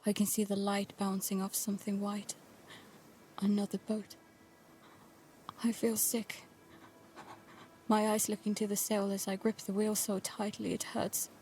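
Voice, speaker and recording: A woman's voice: mid-range voice, completely flat delivery, a little slow, long pauses, mellow, slight background noise, natural voice. A woman playing an anxious, stubborn teenage daughter in an audio drama, speaking in a tense, intimate way. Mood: uneasy, hushed. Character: anxious, stubborn teenage daughter narrating life aboard a boat. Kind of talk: audio drama acting with first-person narration.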